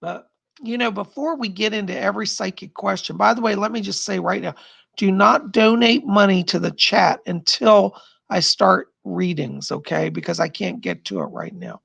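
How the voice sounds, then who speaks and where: Gravelly, husky-voiced man chatting on stream. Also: sits high, lively intonation, moderate pace, fairly bright tone, dry recording. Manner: chatty and rambling, laughing often. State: strained, losing his voice. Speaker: psychic livestream host. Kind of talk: casual live-stream chat reading viewer comments. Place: home.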